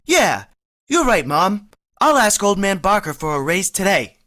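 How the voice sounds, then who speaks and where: A woman's voice: medium pitch, big pitch swings, unhurried, fairly bright tone. A woman playing a timid, tongue-tied young man in a vintage-style radio play. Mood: bashful. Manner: stammering, timid.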